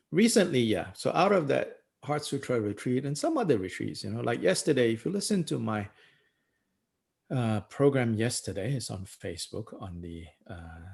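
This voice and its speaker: Man, middle-aged, Buddhist teacher, gravelly voice, lively rising and falling pitch, reflective and unhurried. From Malaysia. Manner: thoughtful, unhurried, many "you know"s and pauses, chuckles often. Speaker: Buddhist teacher leading a book study. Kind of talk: reflective discussion talk.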